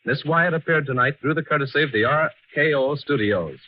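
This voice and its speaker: A man's voice: a little high, a little fast, slight background noise. An American man reading a scripted sign-off in a formal 1940s radio announcing style. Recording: slightly muffled vintage radio broadcast recording.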